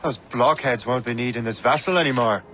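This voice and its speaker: An actor playing a cocky, wry young assassin sailor with an Irish accent in a video game, teasing and cheeky. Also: male voice, medium pitch, a little fast, natural voice. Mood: easygoing. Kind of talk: video game cutscene acting. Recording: clear ambient noise behind dialogue.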